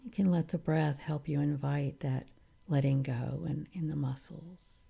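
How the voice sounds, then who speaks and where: A woman leading a guided meditation in a calm, soothing manner, leaving long pauses between phrases. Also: sits low, creaky, breathy edge. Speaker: meditation teacher.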